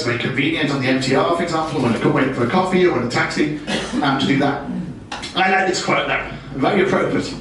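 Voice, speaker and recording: A British man with a fairly high voice gives a business talk full of wry, self-deprecating jokes. He is picked up from a distance, so his voice rings through a heavily reverberant function room with faint noise underneath. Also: fairly bright tone. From United Kingdom. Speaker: presenter. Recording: very echoey room, distant mic.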